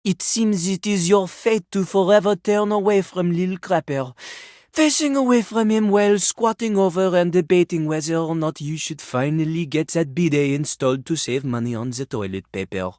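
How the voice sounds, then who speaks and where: A woman's voice: lowish voice, normal speed, darkish tone, no room sound, studio quality, lightly acted. A woman voicing a pompous, boastful male French poet-rapper for a video game, with a French accent and haughty, theatrical delivery. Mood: condescending. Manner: haughty, dramatic, speaks of himself in third person.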